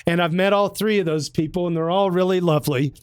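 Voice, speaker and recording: A man's voice: high voice, steady tone, fast talker, clear, resonant tone, dry recording, studio quality. A man hosting an interview stream, chatty and upbeat, laughing easily with his guest. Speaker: host. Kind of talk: casual interview chat.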